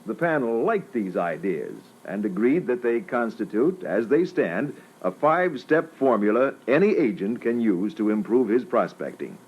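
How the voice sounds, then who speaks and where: A man narrating an instructional training record in a formal, measured, scripted style. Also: mid-range voice, sing-song, slightly rough, muffled recording. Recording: noisy old reel-to-reel tape.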